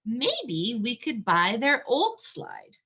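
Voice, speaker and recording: A woman's voice: fairly high pitch, huge pitch swings, slow pace, sharp tone, breathy edge, heavily acted. A woman voicing a friendly, helpful schoolteacher neighbor in a children's storybook, speaking plainly. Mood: helpful, suggesting.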